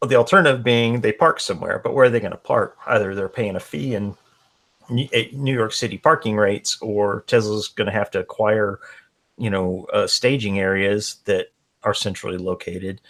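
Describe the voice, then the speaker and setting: A man's voice: lowish voice, normal speed, fairly bright tone. A man co-hosting a casual podcast, skeptical and emphatic, swearing to drive his points home. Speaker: podcast co-host.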